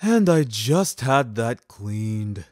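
A man's voice: mid-range voice, big pitch swings, extremely slow, a little mellow, no room sound, clean studio sound. A man voicing an eccentric, naively earnest landlord in an audio story, with a quirky, emphatic delivery. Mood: put-upon. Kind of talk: scripted audio story dialogue.

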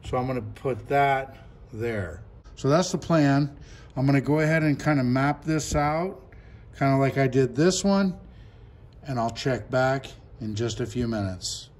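A man's voice: mid-range voice, measured pace, darkish tone. A man in his fifties giving a casual, step-by-step product demo in a classroom, talking through each move and repeating himself as he works. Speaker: product reviewer. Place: classroom at a table.

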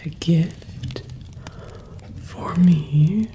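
Young woman for whispered ASMR witch role: very slow, low-pitched, doting, soothing, close-miked. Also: dark tone, a little hiss or hum. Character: doting, mischievous witch pampering her cat familiar. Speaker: ASMR performer. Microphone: close ASMR microphone.

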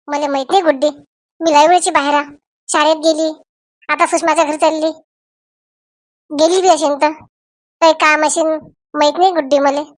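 Comedy cartoon village woman, played by a female actor: high, theatrical, scolding, rural Vidarbha Marathi accent, dry recording. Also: no room sound. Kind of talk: comic cartoon voice acting in village dialect.

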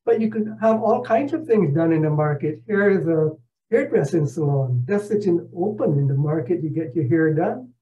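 Older man, slightly high mellow voice, from Barbados, measured and halting, slideshow presenter. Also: lively intonation. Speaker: presenter, retired professor. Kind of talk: narrated travel slideshow talk. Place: indoor room at home, on a video call. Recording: dull video call audio.

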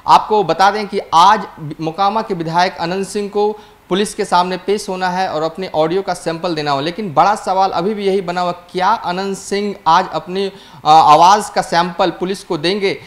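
A young man reporting the news to camera in a studio, holding a handheld mic, with a brisk, urgent newsreader delivery and occasional restarts. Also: high voice, steady tone.

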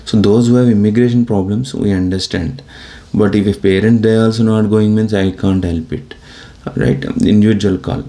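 A man's voice: on the low side, steady tone, moderate pace, dark tone. A middle-aged man from India with an Indian accent, talking to camera in a small room in an earnest, rambling way. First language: Telugu. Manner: rambling, earnest, gestures with hands. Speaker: YouTuber. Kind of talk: casual talk to camera. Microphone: device camera close up at low angle.

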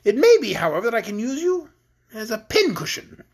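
A woman's voice: on the high side, sing-song, brisk, a little mellow, husky, pitched above the performer's own voice. A woman voicing a cruel storybook queen, imperious, mocking and haughty. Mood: gloating, teasing. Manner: commanding, teasing, haughty. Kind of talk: children's storybook read aloud.

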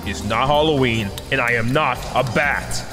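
A man's voice: medium pitch, normal speed, long reverb tail, natural voice. A man playing an earnest, flustered young masked hero with a hesitant, exasperated manner in a comic audio drama. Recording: music behind.

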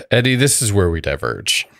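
A man's voice: lowish voice, sing-song, moderate pace, vocal fry, fairly bright tone, no echo, clean studio sound. A man in his thirties chatting casually as a podcast co-host. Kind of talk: casual chat. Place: room at home, indoors. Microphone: podcast microphone with headphones.

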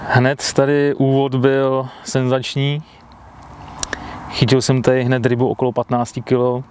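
A man's voice: medium pitch, monotone, darkish tone, slight background noise. A man in his thirties, an angler being interviewed outdoors by a lake, answering in a plain, matter-of-fact way into a clip-on mic. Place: outdoors by a lake, seated at a fishing bivvy.

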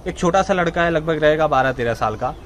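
Male gaming vlogger, twenties, emphatic casual talk, higher-than-average voice, light outdoor background noise. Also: fast, fairly bright tone. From India. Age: 20s. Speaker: gaming channel host. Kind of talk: casual vlog talk to camera. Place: outdoor open yard with trees.

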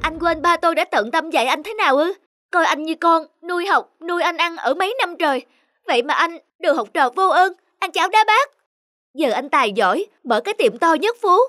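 High-voiced, indignant young daughter. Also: female voice, animated, fairly quick, pushed and bright, no room sound, faint music behind, exaggerated voice. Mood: angry. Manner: reproachful, emphatic. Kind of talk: cartoon drama acting.

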